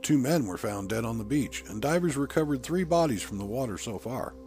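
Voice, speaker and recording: A man's voice: medium pitch, expressive, a little fast, a little mellow, faint music behind, pitched above the performer's own voice, lightly acted. A male narrator voicing a composed female television reporter giving a formal, factual account in an audiobook. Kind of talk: acted news report within audiobook.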